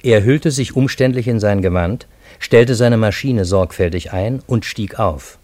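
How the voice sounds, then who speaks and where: Low-voiced, dry-sounding Parisian storyteller. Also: male voice, a little mellow, no room sound. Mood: awed, suspenseful. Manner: measured, literary storytelling. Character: male Parisian narrator, astonished friend of time traveller. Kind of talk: literary first-person radio drama narration.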